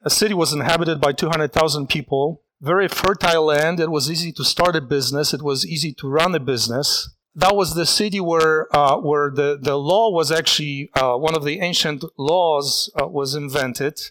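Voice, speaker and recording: Earnest preacher. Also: male voice, on the high side, fairly bright tone. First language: Polish. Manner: earnest, reflective, halting with restarts. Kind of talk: sermon.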